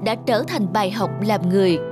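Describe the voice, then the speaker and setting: A woman's voice: mid-range voice, fairly quick, music in the background. A woman reading scripted narration of a moral tale.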